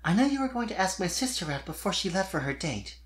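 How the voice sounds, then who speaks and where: A performer voicing a kind, perceptive young female unicorn pony in a soft, earnest way for a cartoon fan story. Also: medium pitch, big pitch swings, fast, pitched above the performer's own voice, close to an everyday voice. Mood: gentle, knowing.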